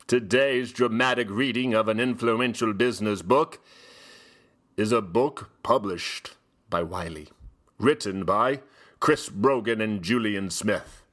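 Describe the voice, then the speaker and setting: Slow, pompous theatrical gentleman host. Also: fairly low pitch. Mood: grandly welcoming. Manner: pompous, drawn-out delivery with dramatic pauses. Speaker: host giving dramatic book readings. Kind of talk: mock-solemn dramatic reading.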